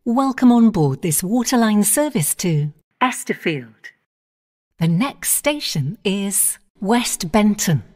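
A woman giving automated onboard train announcements in measured, clipped phrases, naming each station in turn. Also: mid-range voice, very animated, a little mellow.

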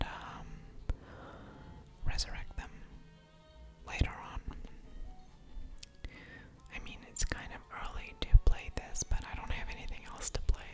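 Whispering woman, slow ASMR game commentary. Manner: soft whispering, unhurried, with mouth sounds. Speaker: host of an ASMR gaming channel.

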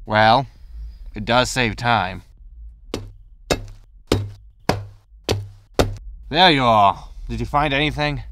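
A man's voice: mid-range voice, measured pace, noisy background, natural voice. A man playing a greedy, breezy, disgraced space haulage captain in a sci-fi comedy audio drama, flippant and full of excuses. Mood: dry. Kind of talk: science fiction comedy audio drama acting.

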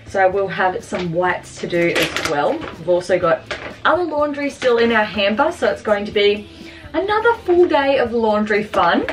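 A woman's voice: medium pitch, far from the mic, noisy background. A woman, chatting cheerfully as a home cleaning vlogger in her kitchen. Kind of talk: casual vlog narration. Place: small home kitchen and laundry, indoors.

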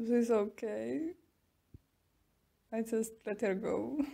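A woman playing a smug, taunting tsundere captor in an ASMR roleplay, mocking and teasing with drawn-out pauses. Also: on the high side, gravelly, warm tone, exaggerated voice. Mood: gloating. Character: smug, taunting tsundere young woman keeping a captive werewolf.

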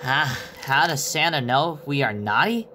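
A man's voice: medium pitch, animated, normal speed. A man voicing a busy, exasperated cartoon bird, brusque and grumbling, in a comic dub. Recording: loud music bed over voice. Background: loud music.

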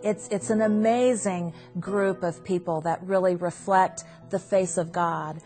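Woman, lowish voice, earnest interview, guitar music clear underneath. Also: fairly even intonation, measured pace, darkish tone.

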